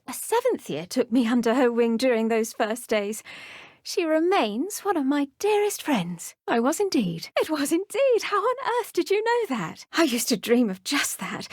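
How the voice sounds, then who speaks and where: A kindly young English witch, a herbology teacher in a fantasy game. Her voice is high and lilting, with lively swoops in pitch and a soft breathiness. She speaks gently and politely, in a nurturing, cheerful way, recorded close and dry. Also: slightly rough, dry recording. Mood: gentle, reminiscing. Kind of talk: video game character dialogue.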